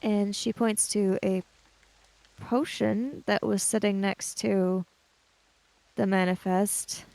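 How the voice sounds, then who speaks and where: Slow, mellow-voiced woman, slightly creaky. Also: mid-range voice, fairly even intonation, no echo, rough recording. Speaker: player in a tabletop roleplaying podcast. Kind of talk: in-character roleplay and narrating own character's actions.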